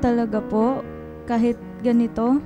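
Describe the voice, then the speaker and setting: A woman's voice: on the high side, level tone, unhurried, highs cut off, lightly acted. A woman playing a vulnerable, distressed teenage daughter in a radio drama. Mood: ashamed, subdued. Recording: music bed behind the voice.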